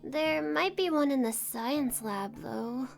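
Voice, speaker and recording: A woman's voice: high-pitched, slow pace, vocal fry, soft background music, full character voice. A woman voicing a tentative schoolgirl in a visual novel game. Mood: hesitant, helpful. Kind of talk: scripted game voice acting.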